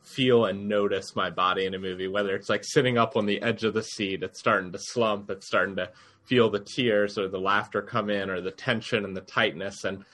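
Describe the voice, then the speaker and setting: Male co-host, bright and forceful tone, lowish voice, earnest. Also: steady tone, brisk. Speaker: podcast co-host. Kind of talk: casual podcast conversation.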